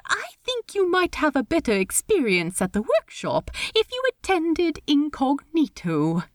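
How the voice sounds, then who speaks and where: A woman voicing a polite, fussy, hesitant gentleman pirate in a storybook reading, with mannered, rambling politeness. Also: high voice, huge pitch swings, measured pace, no room sound, clean studio sound, voice pushed up, full character voice. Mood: fussy, tentative. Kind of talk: character voice in a fan fiction reading.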